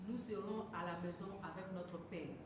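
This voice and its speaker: A woman's voice: fairly low pitch, fairly even intonation. A woman interprets a sermon phrase by phrase, often correcting herself and filling gaps with hesitations. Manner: quick, self-correcting, hesitant fillers. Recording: echoey hall from far off, noisy.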